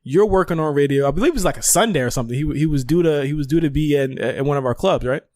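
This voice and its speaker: Male podcast host, fairly high voice, slangy casual interviewer, dry recording. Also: rapid-fire, a little mellow, no echo. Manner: slangy, profane, conversational.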